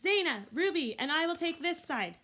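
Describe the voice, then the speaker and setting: A woman voicing a wise old female elephant matriarch who gives calm, firm, motherly commands in a storybook reading. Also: extremely high pitch, moderate pace, pitched above the performer's own voice, exaggerated voice. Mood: commanding.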